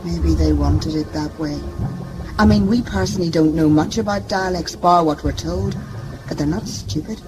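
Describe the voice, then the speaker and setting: A woman's voice: low voice, normal speed, over music, natural voice, rough recording. A woman playing a level-headed, reasoning member of a scavenger crew in a science fiction audio drama.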